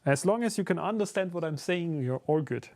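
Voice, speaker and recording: A man's voice: on the high side, a little fast. A German man, a native German speaker talking in English with a German accent, chatting casually on a live stream while he builds and answers his chat. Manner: relaxed and conversational, many fillers, answers chat. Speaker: streamer and YouTuber building a 3D printed enclosure.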